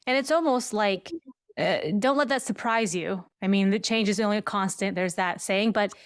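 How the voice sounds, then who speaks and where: A woman's voice: mid-range voice, normal speed, smooth, no room sound, clean studio sound. A woman hosting an interview in a casual, informal chat style, telling anecdotes and voicing quoted remarks. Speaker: podcast host.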